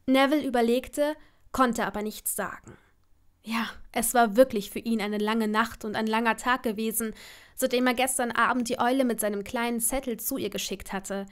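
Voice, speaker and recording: A woman's voice: on the high side, fairly even intonation, normal speed. A woman reading scripted story narration as the narrator of an audio drama.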